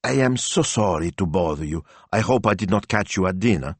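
A man's voice: deep voice, expressive, a little fast, husky, no room sound, exaggerated voice. A man voicing a fretful male dog owner who pleads anxiously for help. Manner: anxious, pleading, hand-wringing.

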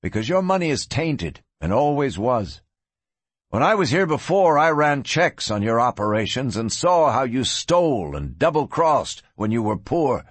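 A man voicing a stubborn, quietly menacing gunslinger in a Western storybook, with a measured manner. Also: medium pitch, no echo. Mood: defiant. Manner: flat, measured, soft-spoken warnings. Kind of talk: dramatised audiobook reading.

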